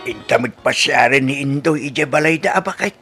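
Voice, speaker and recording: A man's voice: medium pitch, brisk, pushed and bright, slightly rough, soft background music. An actor playing a blunt, grumbling father of a grown daughter in an Ilocano radio comedy drama.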